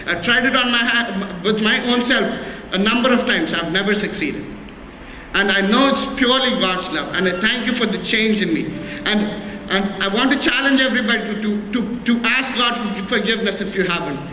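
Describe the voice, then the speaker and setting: A man's voice: sits high, sharp tone. A man giving his church testimony, earnest and plain, stumbling and repeating words as he goes. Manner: halting, many restarts and repeated words. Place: church hall. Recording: echoey hall with faint noise.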